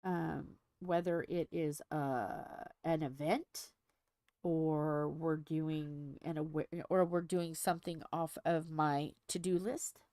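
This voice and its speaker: A woman's voice: deep voice, level tone, takes it slowly, darkish tone, no echo, studio quality. A middle-aged woman hosting a diamond painting livestream, chatting casually and rambling as she thinks aloud with many fillers, a little stuffy.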